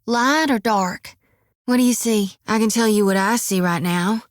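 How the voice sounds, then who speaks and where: A woman's voice: medium pitch, a little slow, no echo, clean studio sound, natural voice. An actress voicing a friendly, folksy young woman priest in a video game, with a drawl and a chatty, earnest manner. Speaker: game companion character.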